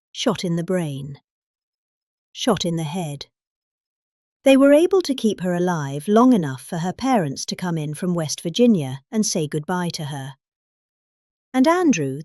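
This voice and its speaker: Brytyjka o żywej, zmiennej intonacji, suche nagranie. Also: female voice, lowish voice, a little mellow, no room sound, clean studio sound. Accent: British. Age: middle-aged. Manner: exaggerated, varied emphasis. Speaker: reader of a political speech. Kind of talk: dramatic reading of a rambling spoken speech.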